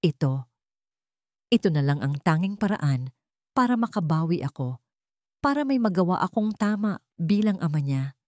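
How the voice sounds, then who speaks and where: A guilt-ridden grown man pleading to make amends, read by a woman narrator in her own lowish voice. His words come heartfelt and cracked with regret, in a dry, close storybook reading with no room sound. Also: sing-song, moderate pace, pauses often, a little mellow, no room sound. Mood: remorseful. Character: remorseful estranged father, grown man.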